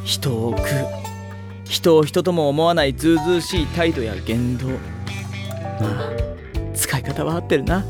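A man's voice: a little high, unhurried, pauses often, over music, close to an everyday voice. An actor voicing a blunt, irritable teenage boy on a volleyball team, giving dry retorts. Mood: deadpan. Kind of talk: anime drama CD acting.

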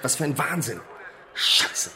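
An actor playing a stressed, irate football club manager, blunt and crude in naturalistic radio drama dialogue. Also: male voice, mid-range voice, big pitch swings, measured pace, a crowd in the background. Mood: exasperated. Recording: clearly noisy ambient scene.

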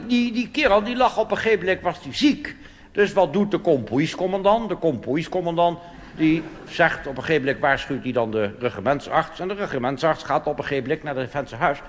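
A Dutch cabaret comedian performing a blustering, pompous reactionary army officer in a rambling stage monologue. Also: male voice, a little high, a little fast, fairly bright tone, natural voice. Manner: pompous, rambling, tag questions. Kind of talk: stand-up cabaret monologue in character. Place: theater stage before a live audience. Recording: live stage recording with hall reverb and hiss.

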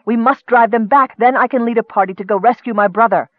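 A man's voice: high voice, fast, no room sound, phone-quality sound, voice pushed up, close to an everyday voice. A man voicing a fierce, imperious young noblewoman with a cutting, commanding manner in a storybook.

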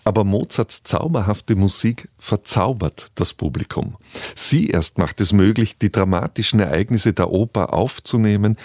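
A man presenting a radio programme in measured, formal scripted narration. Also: deep voice, a little mellow, no room sound, studio quality.

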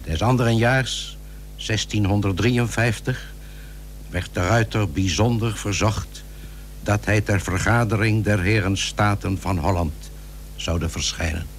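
Male narrator, historical radio play: fairly low, formal, measured, archaic scripted prose, slight hum. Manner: formal, measured chronicle telling. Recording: old radio recording with faint hum.

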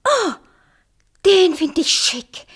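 Girlish fairy-tale princess, very high voice, naive, fussy, slow, breathy, radio play. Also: very animated, a bit bright, exaggerated voice. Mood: delighted. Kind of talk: theatrical German radio play acting.

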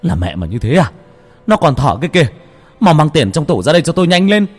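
A man voicing a desperate, domineering father in a horror story, calling out and roaring loudly. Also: fairly high pitch, very animated, brisk, husky, big-room sound, faint music behind, voice pushed up. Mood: furious, roaring. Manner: roaring, cursing.

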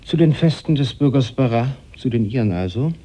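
Husky, discreet police official played by a man. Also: medium pitch, lively intonation, brisk, dark tone, lightly acted. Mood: guarded, deferential. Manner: formal, discreet, deferential. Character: Fouché, cool, deferential, sinister secret police official. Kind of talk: German radio play acting.

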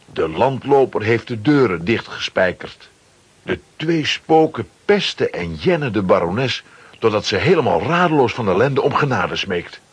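A man's voice: medium pitch, big pitch swings, measured pace, slightly rough. A man reading scripted narration for a children's radio play.

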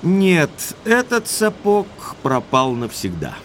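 High, animated young male heir. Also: slow, slight background noise, exaggerated voice. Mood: breezy, frank. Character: young, carefree aristocratic heir. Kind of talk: audio drama acting.

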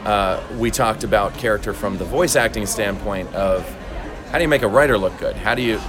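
A man with a fairly low voice talks casually as an interviewed voice actor. He feels his way through explanations, pausing and rephrasing thoughtfully, with music playing clearly behind him. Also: crowd noise. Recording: convention recording with loud background music.

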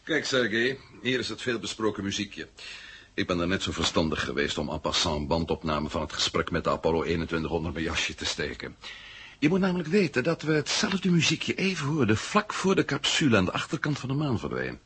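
Animated, assertive male adventurer. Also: sits low, a little fast. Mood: conspiratorial, explanatory. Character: brisk, assertive man in a space-age spy adventure. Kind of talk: 1970s radio drama acting. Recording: faintly noisy old radio recording.

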